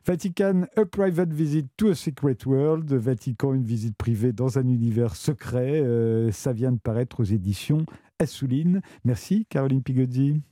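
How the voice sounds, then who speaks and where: A man's voice: medium pitch, normal speed, warm tone, no room sound. A man hosting a radio interview, introducing guests and asking long questions with many hesitations. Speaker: radio host.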